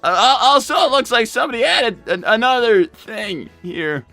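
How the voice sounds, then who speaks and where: Male performer as young cartoon fox, high-pitched, stammering, exasperated, earnest, swooping intonation, improvised live roleplay. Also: animated, moderate pace, vocal fry, sharp tone, no echo, soft background music, exaggerated voice. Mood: defensive, flustered. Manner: stammering, repeats words, exasperated.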